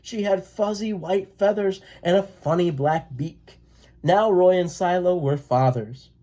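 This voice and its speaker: Slow, mellow storybook reader. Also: mid-range voice. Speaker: read-aloud storyteller. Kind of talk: children's picture book read-aloud.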